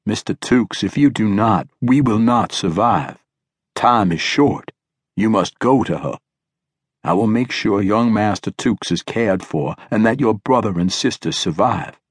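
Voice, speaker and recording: Guy doing a ancient polite old man, solemn and formal, deep rough voice, storybook read. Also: animated, no room sound, studio quality. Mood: grave, insistent. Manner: formal, deliberate, polite, no contractions. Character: ancient, grave, courteous old man, a watchful servant.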